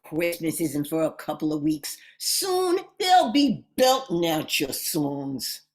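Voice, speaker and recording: A woman as a low-voiced, grumpy talking holly sprig. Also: very animated, slow pace, heavily acted. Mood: sardonic, aggrieved. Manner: griping, slangy, sardonic. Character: grumpy, wisecracking sprig of holly, jaded old-timer. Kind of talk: amateur old-time radio comedy acting.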